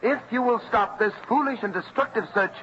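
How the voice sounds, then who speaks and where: An actor playing a self-assured colonial militia captain in a radio drama, arguing firmly and formally. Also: male voice, extremely high pitch, background noise. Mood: firm, confident. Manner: firm, formal, measured argument. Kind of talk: golden age radio drama acting. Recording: muffled vintage radio recording.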